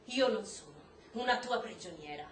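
A woman's voice: mid-range voice, lively intonation, normal speed, natural voice. An actress playing a principled, defiant wife and mother in a stage-style drama, pleading and accusing with emphasis. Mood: quietly resolute. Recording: roomy echo, faint noise.

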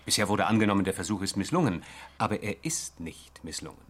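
A man's voice: low voice, fast, fairly bright tone, husky. An actor playing an earnest, eager male investigator in a radio drama, explaining and insisting. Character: earnest, eager investigator reporting his findings.

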